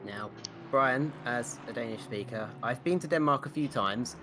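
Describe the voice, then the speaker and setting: A man's voice: medium pitch, noisy background. A man leading live sim racing commentary, playful and teasing with his co-hosts while calling the action. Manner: playful, teasing, quick race calls. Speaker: lead commentator.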